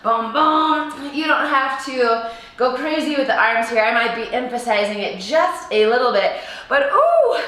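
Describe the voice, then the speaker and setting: A young woman with a raspy, worn-out voice coaches a home workout, bubbly and given to little laughs. Her voice sits high, and she talks at an easy, unhurried pace. She sounds far from the mic in a strongly echoing room. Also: animated, fairly bright tone. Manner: bubbly and giggly, coaching with playful asides. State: raspy, hoarse voice. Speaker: fitness coach. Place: apartment room. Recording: echoey room, distant from mic.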